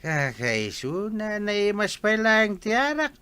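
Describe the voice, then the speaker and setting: A man acts out a drunken Filipino husband in a radio drama. He is defiant and slurs his words at a slow pace. The voice is fairly high for a man, bright and forceful, swinging widely in pitch. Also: wildly swooping pitch, clean tone, exaggerated voice. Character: drunken husband, slurring and defiant, argumentative drinker.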